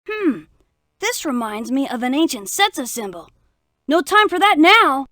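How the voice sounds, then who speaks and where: Squeaky, excitable young rookie. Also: female voice, measured pace, dry recording, clean studio sound, heavily acted. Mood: urgent. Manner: gushing, exclamatory. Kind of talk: children's computer game acting.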